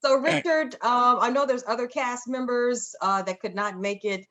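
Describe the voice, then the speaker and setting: A woman's voice: a little high, normal speed, a bit bright. A woman with an American accent hosting a live show and interviewing guests in a casual way.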